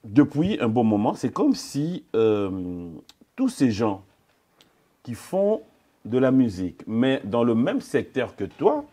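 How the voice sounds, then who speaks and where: Husky male interviewer, insistent and lively. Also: mid-range voice, slow. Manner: insistent, challenging, interrupts and repeats questions. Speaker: interviewer, journalist. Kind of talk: probing, provocative interview.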